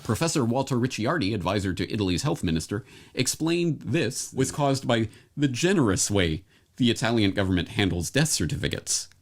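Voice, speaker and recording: A man's voice: medium pitch, big pitch swings, a little fast. A man reading scripted documentary narration, laying out information in a formal register.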